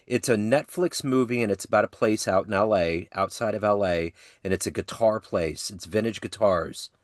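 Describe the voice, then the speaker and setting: A man's voice: on the low side, moderate pace, dry recording. Rambling, enthusiastic male podcast host. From Midwestern United States. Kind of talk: casual conversational interview.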